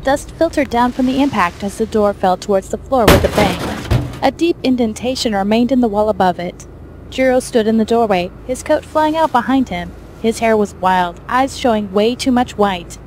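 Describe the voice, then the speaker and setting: A woman's voice: on the high side, moderate pace, darkish tone, slight background noise. A woman reading scripted fiction as the narrator of an audio story.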